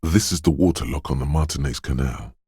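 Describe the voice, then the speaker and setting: A man voicing a detective's quick-witted inner voice in a video game, narrating in a measured, deliberate way with short, wry, alert asides. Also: rumbling low, warm tone, slightly rough, no room sound, studio quality, heavily acted. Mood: measured, ominous. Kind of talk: scripted game narration, second-person inner voice.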